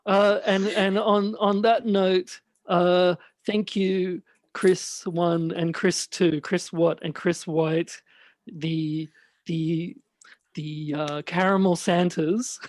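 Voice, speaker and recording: A man hosting a casual podcast chat, speaking haltingly and often repeating his phrases. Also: high-pitched, flat delivery, dry recording. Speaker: podcast host.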